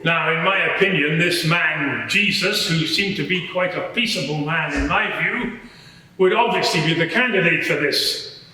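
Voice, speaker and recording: A man playing a matter-of-fact Roman soldier giving measured, explanatory testimony at a dramatised courtroom inquiry. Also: on the high side, sharp tone, slight background noise. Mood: earnest, disapproving. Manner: measured, explanatory, slightly hesitant. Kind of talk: dramatised courtroom testimony before a live audience. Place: large courtroom in a public building. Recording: very echoey large hall.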